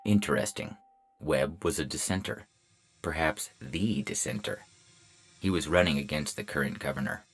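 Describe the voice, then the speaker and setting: A man playing a deadpan robot police officer who narrates a hardboiled detective story in clipped phrases. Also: rumbling low, normal speed. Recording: music bed behind the voice.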